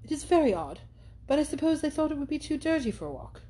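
A woman's voice: sits high, sing-song, fast, mellow, voice pushed up. A woman reading aloud and voicing an eager, hesitant young heroine who asks earnest, anxious questions.

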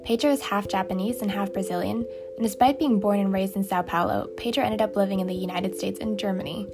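A woman's voice: mid-range voice, steady tone, fast, over music. A woman hosting an interview podcast, speaking in an enthusiastic, effusive and chatty way. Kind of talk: warm, chatty interview with scripted intro.

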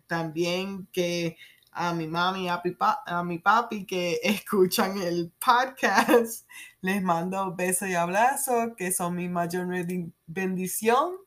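A Puerto Rican woman in her thirties hosting a solo podcast, speaking haltingly with fillers and soft laughs. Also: fairly low pitch. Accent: Puerto Rican Spanish. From Puerto Rico. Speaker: podcast host.

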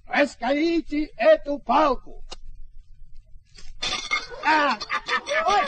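An actor playing an excitable, boastful peasant turned governor in a radio play, repeating his words and exclaiming with self-satisfaction. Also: very high voice, full character voice. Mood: excited, boastful. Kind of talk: mid-century Soviet radio play acting. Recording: old noisy radio drama recording.

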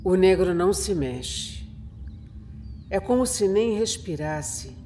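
A man narrating a scripted horror radio drama in a measured, dramatic manner. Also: high voice, dark tone, a little hiss or hum. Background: music.

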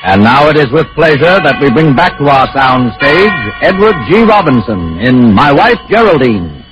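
A man's voice: mid-range voice, sing-song, moderate pace, dull audio, music in the background. A man introducing a 1940s suspense radio program as its announcer, in a dramatic, formal manner.

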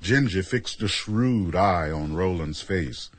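A man with a deep, husky voice delivering scripted third-person narration for a radio drama. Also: expressive, slow, no echo.

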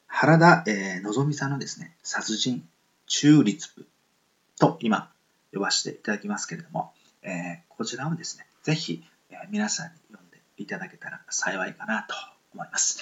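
A man hosting a casual solo podcast in a polite, humble, halting and apologetic way. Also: medium pitch, creaky, fairly bright tone, dull audio. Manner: halting, apologetic, self-deprecating. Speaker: podcast host introducing web novels. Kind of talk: casual solo radio talk.

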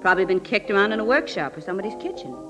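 A woman's voice: medium pitch, animated, racing, muffled recording, natural voice. Woman playing sensible radio newspaper reporter. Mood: matter-of-fact. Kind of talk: 1940s radio drama acting. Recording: old radio recording, organ music.

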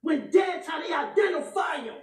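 A woman performing aggressive battle rap, shouting her bars and repeating punchlines at a live event. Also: extremely high pitch, lively intonation, moderate pace, a loud crowd. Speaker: battle rapper. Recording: echoey live venue, mic from far off.

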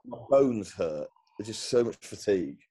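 A man's voice: on the low side, lively intonation, normal speed, dark tone, breathy edge. A man co-hosting a casual podcast, easygoing and mostly giving brief replies. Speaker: podcast co-host. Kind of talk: casual chat.

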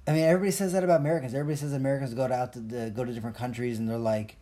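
A man's voice: medium pitch, fairly even intonation, very fast, dark tone. A young man, originally from Colombia, rambling in a profane, jokey, self-mocking rant as a podcast host. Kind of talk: casual rambling monologue.

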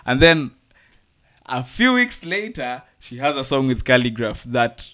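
Halting male co-host, easy laugh. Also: medium pitch, big pitch swings, sharp tone. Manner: halting, many restarts, laughs easily. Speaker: podcast co-host. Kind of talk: casual chat.

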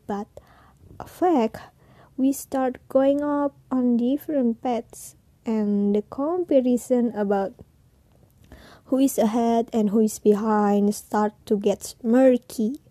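A woman's voice: on the high side, dark tone, dry recording. A woman in her twenties with an Indonesian accent, hosting a casual personal podcast in hesitant, non-native English. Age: 20s. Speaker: podcast host.

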